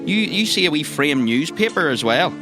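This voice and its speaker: A man's voice: on the high side, very animated, moderate pace, rough recording. A man, narrating a roleplay story conversationally as game master. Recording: music behind the voice.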